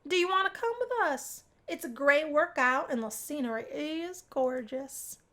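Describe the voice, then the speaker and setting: A woman's voice: high voice, lively intonation, measured pace, a bit bright, pitched above the performer's own voice. A woman voicing a bubbly, kind young female student in a visual novel, eager and encouraging. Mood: cheerful, inviting.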